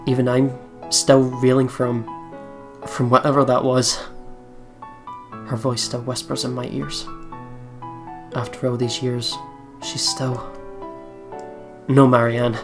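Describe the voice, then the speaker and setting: Man reading game narration, subdued range. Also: mid-range voice. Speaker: let's player. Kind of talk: reading visual novel narration aloud. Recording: game music behind the voice.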